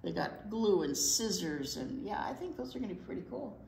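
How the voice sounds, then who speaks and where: An older woman reading a picture book aloud to children in an expressive way, with asides to young listeners. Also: medium pitch, fairly quick, gravelly. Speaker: library storytime reader. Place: indoor library storytime set. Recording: roomy indoor sound.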